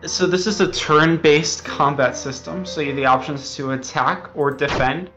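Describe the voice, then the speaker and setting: A man's voice: on the high side, fairly even intonation, normal speed, fairly bright tone, faint music behind. A man being interviewed as a game developer, explaining things in an earnest, hesitant way.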